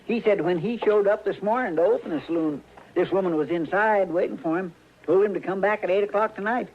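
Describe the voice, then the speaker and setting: An actor playing a simple, deferential marshal's sidekick in a 1950s radio western, with a folksy, polite drawl. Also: male voice, sits high, fairly quick. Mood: worried, earnest. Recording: dull, noisy old radio recording.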